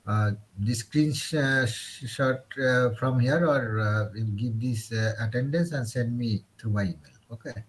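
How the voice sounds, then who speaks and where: A male lecturer, calling the roll in an online class and pausing often on fillers. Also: medium pitch, poor audio. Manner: hesitant, many filler pauses. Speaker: lecturer taking roll call.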